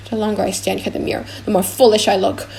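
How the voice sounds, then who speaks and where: A woman's voice: mid-range voice, expressive, fairly quick, gravelly, a little mellow. A woman voicing a vain, pompous prince in a dramatic audiobook, musing aloud theatrically. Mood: rueful. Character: vain, pompous foreign prince weighing his choice aloud.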